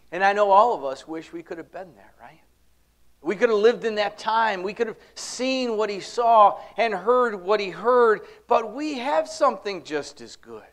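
A middle-aged man preaching a sermon and reading scripture aloud, lifting his voice on key words and dropping it low at the ends of phrases. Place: church classroom with projection screen, indoors.

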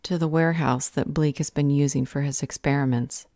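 Woman acting as a stern determined lady leader, low voice, dry close sound, audio drama. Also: fairly even intonation, fairly quick, dark tone, no room sound. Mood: resolute. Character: firm, determined woman leading a fight against a villain.

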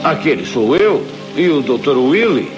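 A man's voice: a little high, big pitch swings, unhurried, highs cut off, music in the background. A man playing a kindly, earnest scientist who explains things, in a Brazilian cartoon dub. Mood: thoughtful. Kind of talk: dubbed cartoon acting.

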